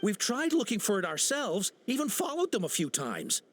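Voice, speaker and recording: A jittery captured smuggler with a high, strained voice, stumbling over his words and piling up nervous uhs. Faint game music runs underneath. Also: wildly swooping pitch, moderate pace, full character voice. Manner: stammering, full of uh and nervous restarts. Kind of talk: game cutscene voice acting.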